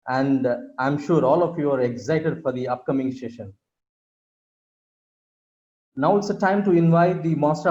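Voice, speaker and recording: A man hosting an online event, giving polite, measured, formal announcements and introductions over a video call. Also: fairly high pitch, a little mellow. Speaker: host introducing next session. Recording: dull, band-limited video call audio.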